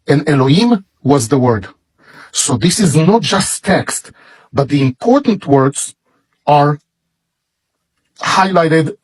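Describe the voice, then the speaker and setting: A man with a Hebrew accent, a native Hebrew speaker, slowly and reverently showing off a bible as a product. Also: medium pitch, darkish tone, husky, rough recording. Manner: slow, deliberate, reverent. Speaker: seller presenting a bible. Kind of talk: product demonstration.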